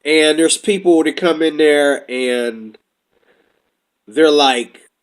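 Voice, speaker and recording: A man with a notably high voice talks through a loose, rambling monologue. He works from notes but keeps drifting off track, in the easy manner of a casual commentator. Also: takes it slowly. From United States.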